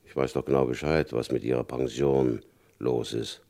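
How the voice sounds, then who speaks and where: An actor playing a stern, shrewd male FBI agent in a radio crime drama, speaking in a calm, probing, knowing way. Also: rumbling low, moderate pace, vocal fry. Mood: coaxing.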